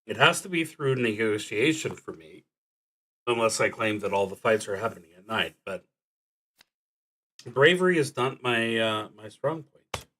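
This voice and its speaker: A man streaming a solo roleplaying game, reading prompts aloud and thinking out loud in a deliberate, halting way that trails off into muttering. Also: on the low side, animated, poor audio.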